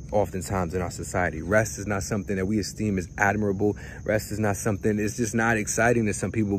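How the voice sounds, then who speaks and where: A man's voice: lowish voice, a little hiss or hum. A man in his thirties, a pastor, preaching a casual sermon outdoors by a lake, talking in a conversational way and often repeating words as he goes.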